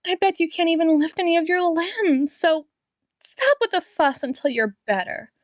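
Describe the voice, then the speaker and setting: A woman playing an obsessive, doting female doctor in an ASMR roleplay, sweetly menacing, lingering on pauses and repeated phrases and slipping into whispers. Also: very high voice, expressive, no room sound, clean studio sound. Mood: soothing, fussing. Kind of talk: ASMR roleplay, dramatic monologue addressed to listener.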